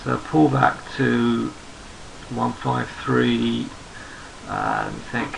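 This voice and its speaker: A hoarse man who is losing his voice, talking through market charts in an unhurried, think-aloud way and often repeating words as he goes. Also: mid-range voice, little pitch movement, noisy background. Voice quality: gravelly. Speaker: trading analyst. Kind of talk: screen-recorded market chart commentary.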